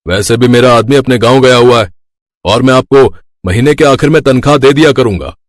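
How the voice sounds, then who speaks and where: A man plays a glib village shopkeeper in an animated tale, slippery and sly. The recording is dry and close. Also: mid-range voice, fairly quick, no echo, close to an everyday voice. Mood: coaxing.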